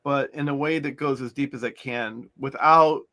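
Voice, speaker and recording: A man's voice: mid-range voice, moderate pace, pushed and bright. A middle-aged man, an author, talking in a loose, rambling way with many restarts as an interview guest. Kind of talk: conversational interview.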